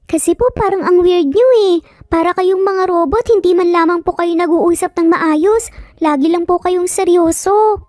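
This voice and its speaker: Storybook little boy, acted by a woman: tiny high voice, bossy, scolding yet polite; dry recording, light music bed. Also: moderate pace, a little mellow, no room sound. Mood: complaining. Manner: insistent, scolding, with polite childlike phrasing. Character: bossy, determined little boy, stubborn and cute. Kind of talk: dramatized Tagalog storytelling.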